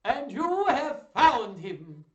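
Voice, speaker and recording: A man voicing a hearty, welcoming older duke in a storybook, loud and drawn-out. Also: very high voice, sing-song, highs cut off, voice pushed up, exaggerated voice. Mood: hearty welcome. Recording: roomy, loud, distant microphone.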